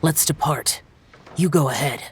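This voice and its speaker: Aloof, brooding immortal. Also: male voice, a little high, unhurried, slight background noise. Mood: reserved, pensive. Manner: terse, reticent, sighing. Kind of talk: video game voice acting.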